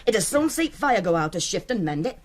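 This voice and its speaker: A woman's voice: mid-range voice, very animated, brisk. An actress playing a nagging but fond middle-aged working-class mother with a Northern English accent in a radio drama. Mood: nagging, exasperated. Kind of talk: naturalistic British radio drama acting.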